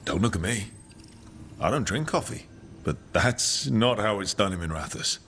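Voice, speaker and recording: An actor playing a steady, willing male adventurer hero in a video game, straightforward and easygoing, over music. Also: fairly low pitch, normal speed, slight background noise. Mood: easygoing, resolute.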